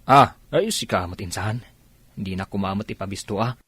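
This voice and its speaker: A man's voice: lowish voice, brisk, fairly bright tone, slightly rough, dry recording. A man playing a grumbling young fruit picker who banters with his cousin in a Filipino-accented radio drama.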